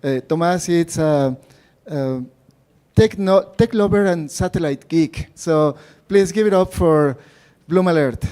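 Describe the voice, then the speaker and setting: A man hosting an event, introducing speakers in a hesitant way with pauses. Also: fairly high pitch, dark tone, clear, resonant tone. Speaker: event host.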